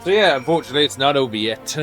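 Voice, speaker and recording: A man streaming a game with casual, off-the-cuff commentary. His voice is lively and moves around a lot in pitch, with faint music behind him. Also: very fast. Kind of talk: casual live gaming commentary.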